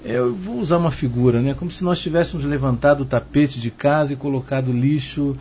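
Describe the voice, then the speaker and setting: A middle-aged man with a Carioca Brazilian accent, answering interview questions as an expert in a measured, didactic way, often checking that the listener follows. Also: darkish tone. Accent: Carioca Brazilian Portuguese. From Rio de Janeiro, Brazil. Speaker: interviewed expert, professor of security. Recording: muffled low-fi sound, noticeable background noise.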